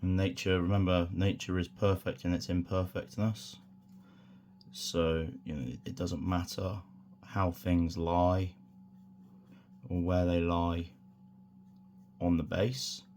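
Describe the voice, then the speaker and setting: A man with a croaky voice from a sore throat, talking through a hobby tutorial in a relaxed, rambling way with many fillers. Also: sits low, takes it slowly, a little hiss or hum. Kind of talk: casual hands-on tutorial.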